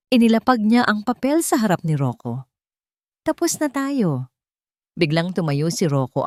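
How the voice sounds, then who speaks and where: A woman narrating a dramatic audiobook story from a script in a deliberate, emotive storytelling manner. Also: on the low side, darkish tone, no room sound, studio quality.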